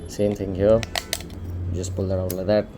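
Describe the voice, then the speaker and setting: A man's voice: sits low, level tone, moderate pace, background noise. A man with an Indian accent casually presenting an unboxing for a shop, explaining things informally step by step. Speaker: shop presenter demonstrating a product.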